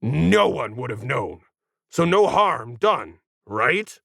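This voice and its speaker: A man performs a brash alien for a sci-fi storybook, snarky and slangy. The voice has some gravelly creak and sits close and dry, full of condescension. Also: mid-range voice, big pitch swings, slow, fairly bright tone, no echo, heavily acted. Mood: smug, pushy. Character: brash sarcastic tentacled alien, condescending and fast-talking. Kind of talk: acted sci-fi storybook dialogue.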